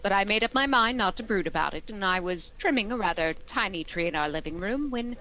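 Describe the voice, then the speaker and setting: A woman's voice: medium pitch, expressive, a little fast, pushed and bright, low-quality recording. A woman playing a wry, patient English teacher with a dry, sardonic delivery in an old-time radio comedy. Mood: wry narrating. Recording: clear background noise, audience sounds.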